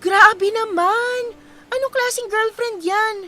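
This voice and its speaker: A high-voiced, caring young Filipina. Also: female voice, takes it slowly, heavily acted. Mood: shocked. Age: young adult. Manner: expressive, sympathetic. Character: caring young woman, supportive friend secretly in love. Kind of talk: Filipino radio drama acting. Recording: music playing behind the voice.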